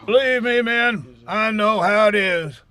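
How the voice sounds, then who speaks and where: A man's voice: high voice, unhurried, sharp tone. A man acting a pompous, blustering old frontier judge in a western radio drama, speaking like an orator. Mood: pompous, pleading.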